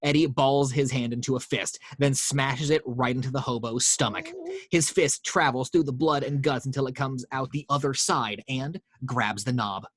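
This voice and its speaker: A man reads horror stage directions at a mid pitch, in a steady storytelling way with the odd stumble. His voice is dry and close, with no room sound. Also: normal speed, husky, dry recording. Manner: steady storytelling read with small slips. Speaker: narrator reading action lines. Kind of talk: table read narration.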